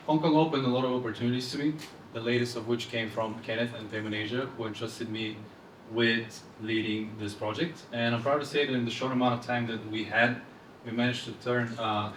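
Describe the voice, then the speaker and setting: Young male presenter, Eastern European accent, flat intonation, live event delivery, picked up from afar in a roomy space. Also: lowish voice. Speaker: fintech presenter. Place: indoor event room, speaking to audience. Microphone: handheld microphone heard from far off. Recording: roomy, distant event-hall sound with noise.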